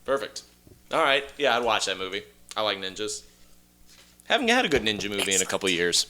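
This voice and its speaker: Male podcast co-host, bright and lively, trading quick jokes in casual banter. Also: lowish voice. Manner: joking, quick with quips. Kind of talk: casual comedic banter, pitching movie ideas.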